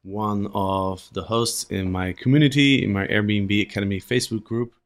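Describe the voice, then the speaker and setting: Low-voiced man hosting a casual interview. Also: steady tone, moderate pace. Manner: hesitant, many fillers. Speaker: podcast host. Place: in a room in a city abroad.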